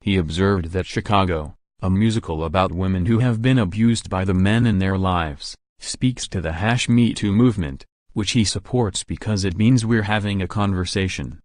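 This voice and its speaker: A man narrating entertainment news in a steady, scripted read with no fillers. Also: low voice, moderate pace, few pauses, no echo, studio quality.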